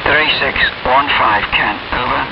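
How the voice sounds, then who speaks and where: A man chatting casually as an amateur radio operator, reflective and halting, over a shortwave radio transmission. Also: medium pitch, vocal fry. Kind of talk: rambling casual radio chat. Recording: muffled shortwave radio with loud static.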